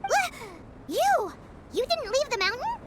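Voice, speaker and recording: A woman voicing a tiny, childlike female fairy companion in a video game, pouty, excitable and blunt, with a squeaky voice. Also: takes it slowly, heavy background noise, heavily acted. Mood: startled, indignant. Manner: refers to herself in third person, complaining. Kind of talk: English video game character dialogue. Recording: music behind the voice.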